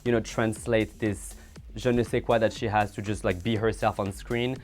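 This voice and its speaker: A man's voice: fairly low pitch, fairly even intonation, moderate pace, darkish tone, soft background music. A man appearing as a guest on a casual chat show, speaking in an earnest, thoughtful way. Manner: earnest, thoughtful, laughs easily. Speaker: guest, drag performer.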